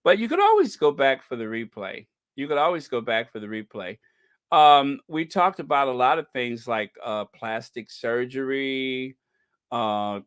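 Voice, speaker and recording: Male livestream host: resonant and slow-paced, joking, mock-indignant, halting casual delivery. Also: mid-range voice, a bit bright, clean tone, no echo, clean studio sound.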